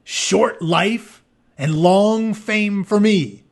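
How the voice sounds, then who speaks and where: An eager young warrior prince from a storybook myth, put on by a man reading aloud. He declaims slowly and loudly, with proud resolve in each word. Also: high voice, pitched above the performer's own voice, exaggerated voice. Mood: proud, resolute.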